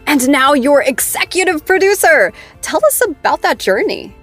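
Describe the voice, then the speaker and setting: A woman's voice: high voice, big pitch swings, normal speed, faint music behind. An actress playing a bubbly, teasing after-show host in a scripted audio drama, gushing and playful with her guest.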